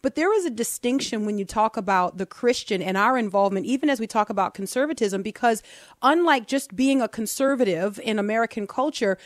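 A woman's voice: a little high, fairly quick, no room sound. Playful woman radio host in a dry studio. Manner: animated, playful, joking banter.

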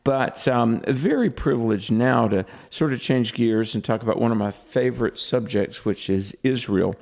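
An earnest man sitting in as a talk radio host, asking a guest questions with plenty of ums and uhs. He speaks into a studio microphone at an ordinary middle pitch. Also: normal speed, creaky, a little mellow. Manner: earnest, with frequent fillers.

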